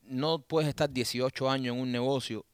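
A man's voice: medium pitch, steady tone, normal speed, smooth, no room sound. A man co-hosting a casual chat, teasing and telling stories with animation. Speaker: co-host.

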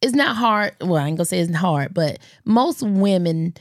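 A woman hosting a podcast, chatting in an animated, teasing way. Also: fairly low pitch, normal speed, no echo, clean studio sound. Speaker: podcast host. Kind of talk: casual chat.

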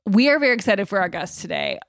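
A woman's voice: mid-range voice, lively intonation, fast, no echo, clean studio sound. A woman co-hosting a podcast in casual, enthusiastic, giggly chat. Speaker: podcast co-host.

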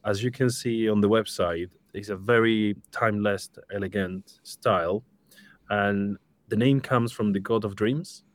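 A man from Spain in his thirties, speaking with a Spanish accent as a live-stream interview guest, relaxed and lightly joking. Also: lowish voice, measured pace, dry recording. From Spain, Seville. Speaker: guest, interior design studio director.

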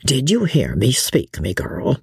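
A man's voice: on the high side, very animated, measured pace, gravelly, breathy edge, no echo, clean studio sound, voice pushed up, full character voice. A man voicing Mister Jellyband, a hearty, opinionated innkeeper. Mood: blustering. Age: 60s. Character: bluff, worthy old innkeeper, stern father.

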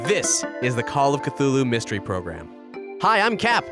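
A man's voice: medium pitch, huge pitch swings, moderate pace, music in the background. A man hosting a podcast, opening the show in an upbeat, casual announcer manner. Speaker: podcast host.

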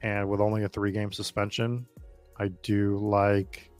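A man's voice: on the low side, little pitch movement, unhurried, a little mellow, clear, resonant tone, faint music behind. An American man from the Boston area chatting informally and swearing freely as he hosts a casual live draft stream commentary. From Boston area, United States. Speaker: host of a fantasy football draft stream.

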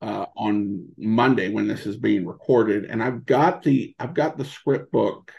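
A man speaking as a podcast guest in casual interview chat, halting and meandering as he restarts phrases. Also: on the low side, gravelly, dull audio. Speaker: podcast guest and old-time radio podcaster.

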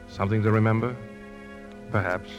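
A man playing a grave, remorseful accuser in a 1950s radio drama, earnest and somber in dialogue and first-person narration, with a gravelly voice. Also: lowish voice, fairly even intonation, a little fast, highs cut off, music in the background, close to an everyday voice. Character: grave, remorseful man determined to expose a poisoner.

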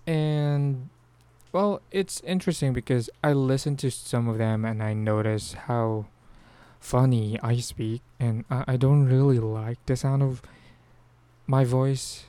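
A man's voice: mid-range voice, dark tone, smooth, dry recording. A Filipino man with a Filipino accent, hosting a podcast in casual solo talk, rambling with frequent restarts and fillers. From Philippines. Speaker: podcast host.

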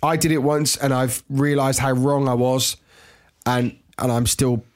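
A man's voice: medium pitch, steady tone, a little slow. A man with a cold, sniffly, co-hosting a casual podcast and teasing in a jokey, mock-solemn way. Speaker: podcast co-host.

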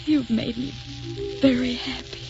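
An actress playing a frail, dying young wife, devoted and dreamy, with tender, wistful 1940s radio drama delivery. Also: female voice, sits high, steady tone, a little slow, creaky. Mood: adoring. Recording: muffled old 1940s radio recording, music behind.

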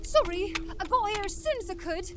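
A woman's voice: very high voice, lively intonation, brisk, very noisy. A woman voicing an eager, plucky, comic mole creature in an audio drama. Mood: excited, baffled.

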